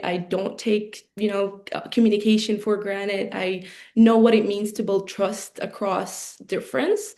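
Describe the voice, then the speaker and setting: A woman in her twenties tells her story in an earnest, steady way, often pausing on ums and restarting her phrases. Her voice is mellow and soft-edged, sitting at a middle pitch. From Middle East. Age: 20s. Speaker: program alumna and college athlete. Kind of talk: prepared personal speech on a video call.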